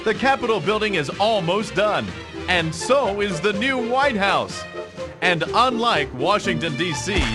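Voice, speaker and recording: A man's voice: high-pitched, lively intonation, measured pace, over music. A man playing a friendly, salesmanlike TV commercial announcer for a game's parody advert. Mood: cheery.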